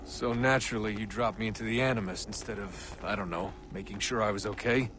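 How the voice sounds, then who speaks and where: A man's voice: fairly low pitch, fairly even intonation, fairly quick, background noise, lightly acted. An actor playing a weary, sarcastic young man who sighs and answers dryly. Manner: dry, sighing sarcasm. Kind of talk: video game cutscene acting.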